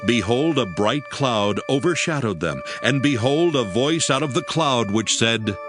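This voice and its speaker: A man gives a formal, measured narration of dramatized scripture. His voice sits at a medium pitch with lively intonation, over clearly audible music.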